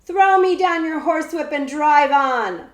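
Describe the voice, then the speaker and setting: A woman's voice: high voice, a little slow, pitched above the performer's own voice. A woman reading a children's storybook, voicing a big, angry, greedy male outlaw with a snarling, rustic way of talking. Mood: loud, demanding. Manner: bellowing, snarling, rustic slangy speech. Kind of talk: children's storybook read-aloud. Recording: echoey room.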